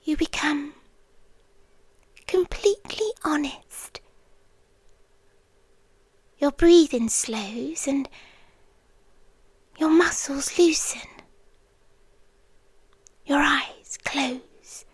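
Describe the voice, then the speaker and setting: An obsessive British yandere girlfriend, acted by a woman, high-voiced and breathy, slipping between whisper and soft speech. She speaks very slowly and possessively, right up close in a dry, intimate ASMR recording. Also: dry recording. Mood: menacing intimacy. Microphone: close, dry microphone.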